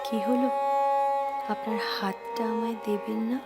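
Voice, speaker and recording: Woman acting high-voiced, wounded young female admirer. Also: steady tone. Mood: sorrowful. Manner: emotional, poetic, deliberate delivery. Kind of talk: Bengali audio drama acting. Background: background music.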